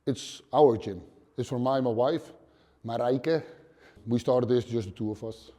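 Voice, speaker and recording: A man's voice: medium pitch, dark tone. Blunt Dutch man in his thirties. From Netherlands. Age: 30s. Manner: plain, blunt, swears casually, short halting phrases. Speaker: interview guest, gym owner. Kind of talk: casual interview answers. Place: dim gym indoors.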